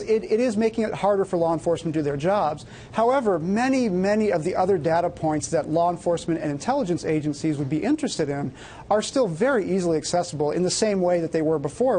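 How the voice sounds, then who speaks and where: High-pitched man with a mellow voice. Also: a little hiss or hum. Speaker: news commentator on technology. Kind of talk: broadcast panel discussion, off the cuff.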